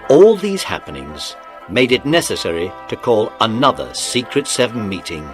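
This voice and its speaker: A man's voice: mid-range voice, sing-song, normal speed, over music. A man narrating a scripted children's story as the narrator of an audio drama.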